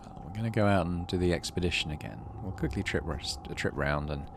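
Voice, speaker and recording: A man's voice: sits low, quick pace, background noise. A British man hosting a gaming playthrough, reading game text aloud and chatting casually about it. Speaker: gaming channel host.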